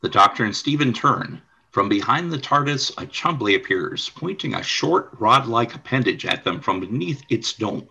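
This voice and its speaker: A man's voice: medium pitch, expressive, moderate pace, gravelly, few pauses, pushed and bright, breathy edge, highs cut off. A man reading scripted scene narration for a radio play. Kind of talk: scripted narration of stage directions.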